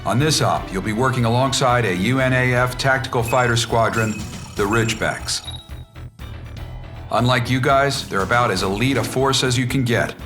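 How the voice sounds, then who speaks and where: A man's voice: mid-range voice, normal speed, lightly acted. A man playing a blunt, money-minded mercenary officer giving a businesslike, clipped military briefing in a video game. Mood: businesslike, stern. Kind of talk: scripted military mission briefing. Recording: music under the voice. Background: background music.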